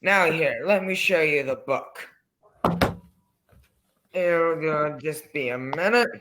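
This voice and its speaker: A man's voice: a little high, slow pace, noisy background. A man acting in an old-time radio drama as a folksy, courteous, rambling old coffin salesman with a sinister politeness. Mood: sly, apologetic. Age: older.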